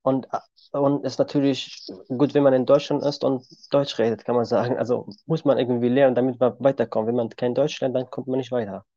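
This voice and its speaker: Man in his twenties, mellow voice, dull lo-fi recording. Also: mid-range voice, fairly even intonation, brisk, no room sound. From Syria. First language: Kurdish. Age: 20s. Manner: plain, simple sentences. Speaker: guest. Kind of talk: podcast interview.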